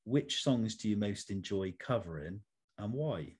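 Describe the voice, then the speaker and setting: Guy hosting an interview, fairly low voice, asks questions in a measured deliberate way. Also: animated, darkish tone, breathy edge. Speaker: interview show host.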